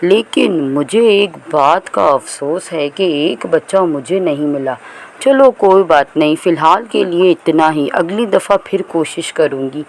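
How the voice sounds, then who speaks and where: Woman as a sly, greedy villain cat, unhurried. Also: low-pitched, lively intonation, gravelly, slight background noise, full character voice. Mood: gloating. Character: sly greedy villain female cat hunting baby birds.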